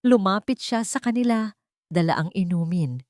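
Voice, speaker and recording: A woman's voice: medium pitch, animated, moderate pace, darkish tone, dry recording, studio quality. A woman reading a scripted, dramatic story as narrator.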